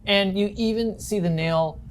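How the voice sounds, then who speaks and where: A woman's voice: mid-range voice, measured pace, a little hiss or hum, rough recording. A woman acting as game master, narrating a tabletop horror game in an informal, descriptive storytelling way.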